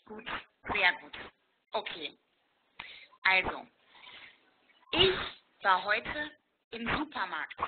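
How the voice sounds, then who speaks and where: A woman in her thirties from Germany teaching German over a video call from an airport, speaking slowly and clearly and repeating phrases. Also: mid-range voice, very animated. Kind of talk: online language lesson. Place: airport, indoors. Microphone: laptop on video call. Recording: tinny video call line with noise.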